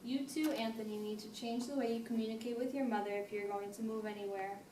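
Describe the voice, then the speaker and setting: A woman playing a patient, firm family therapist, speaking in a measured, formal way in an amateur role-play. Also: a little high, fairly even intonation, dark tone, lightly acted. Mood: firm, reasoning. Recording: noisy echoey room, distant mic.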